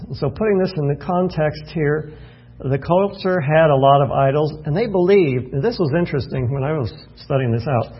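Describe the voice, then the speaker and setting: Man, pastor, casual Bible study teaching, thinks aloud, slightly high voice, gravelly. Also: lo-fi sound. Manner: folksy, self-deprecating, thinking aloud with restarts. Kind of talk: evening Bible study sermon.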